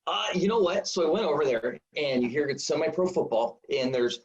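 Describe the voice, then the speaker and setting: A man in his forties, a high school football coach, telling stories in a rambling, self-deprecating way full of fillers. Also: lively intonation, brisk. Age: 40s. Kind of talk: casual storytelling interview. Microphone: video call. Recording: dull lo-fi video call audio.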